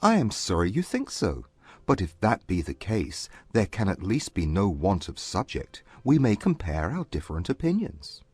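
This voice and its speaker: A man playing a proud, reserved young Regency gentleman, speaking gravely and formally in a dramatized audiobook. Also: lowish voice, wildly swooping pitch, moderate pace, no room sound, exaggerated voice. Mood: polite, curious.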